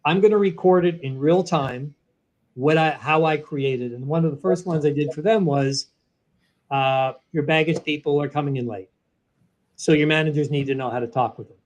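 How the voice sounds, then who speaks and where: A man, a guest in an interview, explaining a software product in an animated way, walking through each step. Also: on the high side, normal speed, low-quality recording. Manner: animated, walks through steps one by one. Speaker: guest, sales training software executive.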